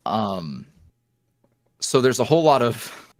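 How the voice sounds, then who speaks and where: Man, mid-pitched, casual rambling chat, filler-heavy, dry sound. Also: sing-song, slow pace, no room sound. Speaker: podcast guest, ASMR roleplay creator.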